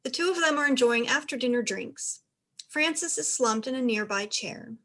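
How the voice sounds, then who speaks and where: High-pitched woman reading stage directions. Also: lively intonation, moderate pace, bright, forceful tone. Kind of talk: scripted narration.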